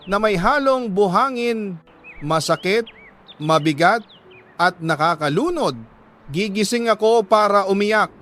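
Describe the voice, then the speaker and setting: A Filipino man with a slightly high voice narrates a confessional love letter like a radio drama host. He is solemn and sentimental, leaving deliberate pauses between phrases. There is some noise in the recording behind him. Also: a bit bright.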